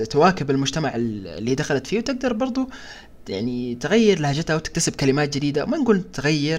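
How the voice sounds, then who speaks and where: Rapid-fire Sudanese man explaining. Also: on the high side. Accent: Sudanese Arabic. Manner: explanatory, reasoning aloud. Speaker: co-host. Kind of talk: casual discussion.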